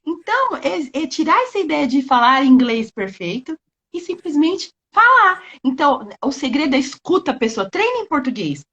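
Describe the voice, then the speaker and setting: An animated woman teacher hands out advice in quick bursts. Her voice is very high and swoops around a lot. The dull, lo-fi sound of a live video call comes through clearly. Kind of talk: casual live-stream chat.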